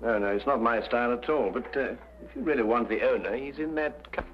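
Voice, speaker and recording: An actor playing a mild English gentleman detective, polite, hesitant and bemused, in an old detective drama. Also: mid-range voice, fairly quick, lightly acted. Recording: dull old broadcast recording.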